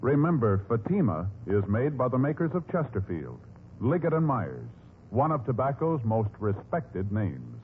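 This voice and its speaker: A man's voice: mid-range voice, sing-song, normal speed, narrow, tinny audio, slight background noise. A male American announcer delivering formal scripted 1950s radio narration. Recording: vintage radio, thin band, loud music.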